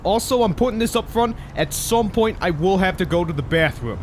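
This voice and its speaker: Man voicing a brash, high-pitched young scout. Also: expressive, a little fast, a little mellow, slight background noise. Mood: pleading. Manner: rambling, cocky. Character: brash, chatty, cocky young male scout. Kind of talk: comic dub acting.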